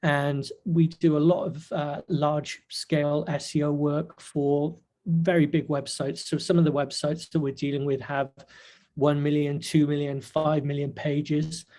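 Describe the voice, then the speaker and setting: A hoarse man hosting a webinar over a video call, halting with many fillers. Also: a little high, flat delivery, mellow. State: hoarse, nearly losing his voice. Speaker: webinar host. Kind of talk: casual webinar talk. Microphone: webcam video call.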